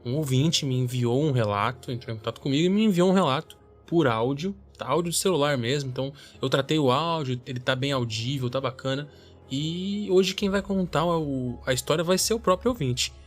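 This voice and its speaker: A man's voice: medium pitch, a little fast. A man with a Brazilian accent hosting a podcast, chatting casually to listeners with music behind him. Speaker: podcast host.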